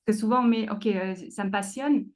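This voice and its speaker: Woman, slight Canadian French accent, creative coach, relaxed hesitant interview talk. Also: mid-range voice, a little fast. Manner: hesitant, many fillers and restarts. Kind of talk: casual conversational interview.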